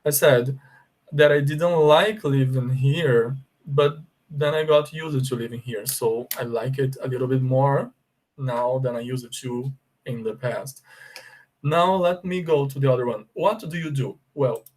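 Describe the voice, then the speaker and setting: Brazilian man teaching English, mid-pitched, unhurried, patient step-by-step explaining with check-ins. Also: darkish tone, poor audio. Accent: Brazilian Portuguese. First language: Portuguese. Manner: slow, deliberate explaining with frequent check-ins. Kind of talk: bilingual language lesson.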